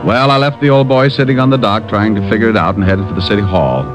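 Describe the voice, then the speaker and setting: A man's voice: fairly low pitch, fast, loud music behind the voice, natural voice. A man playing a glib, wry private detective in an old-time radio drama, both narrating and in dialogue. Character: wisecracking private detective, breezy, easily flustered by flirting. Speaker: lead actor and first-person narrator. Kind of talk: 1940s-50s radio detective acting and narration. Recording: muffled old radio recording.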